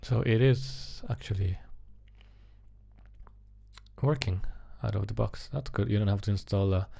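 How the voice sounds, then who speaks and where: A man casually thinking aloud as he narrates live coding, halting and muttering to himself as he works. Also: lowish voice, vocal fry, mellow.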